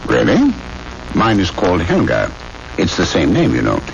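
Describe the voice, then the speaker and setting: Animated, menacingly genial army lieutenant. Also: male voice, low voice, moderate pace, vocal fry, full character voice. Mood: firm, then genial. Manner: commanding, probing, sardonically familiar. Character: brisk military lieutenant hunting fugitives, genial yet menacing. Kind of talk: 1970s radio drama acting. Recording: dull, very noisy old radio broadcast.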